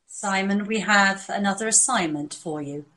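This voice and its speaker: A woman playing a calm, businesslike doctor who directs covert operations in a scripted audio drama, speaking in a serious, measured way. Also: mid-range voice, lightly acted.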